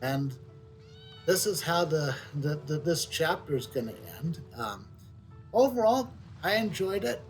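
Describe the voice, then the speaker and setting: A middle-aged man giving casual, unscripted video game commentary, halting and reflective, repeating words as he thinks. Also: on the high side, animated, low-quality recording. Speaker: game streamer. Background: soft video game music.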